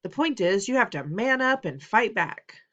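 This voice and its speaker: A woman voicing a blunt, stubborn father who talks gruffly and raises his voice. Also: on the low side, sing-song, normal speed, a bit bright, highs cut off. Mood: stern. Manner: gruff and insistent, raising his voice.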